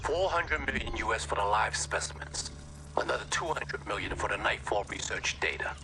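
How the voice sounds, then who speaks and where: An actor playing a cold, stern male broker in a video game, with a measured, clipped delivery. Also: lowish voice, expressive, background noise.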